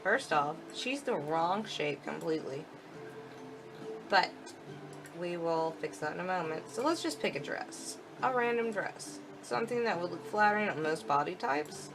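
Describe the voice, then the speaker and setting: Female game demo presenter, low register, informal and instructional, clear music bed underneath. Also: expressive, normal speed, low-quality recording. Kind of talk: casual live walkthrough commentary.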